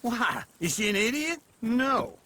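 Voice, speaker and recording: Whiny older outlaw, swooping high voice. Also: male voice, big pitch swings, a little slow, sharp tone, a little hiss or hum, heavily acted. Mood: mocking. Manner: theatrical, self-pitying, wheedling. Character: lazy, whiny, teasing older male outlaw. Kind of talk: dramatic video game acting.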